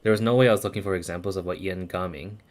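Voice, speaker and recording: Low-voiced man chatting on a game stream. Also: steady tone, rapid-fire. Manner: informal, musing, thinking aloud. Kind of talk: casual stream commentary.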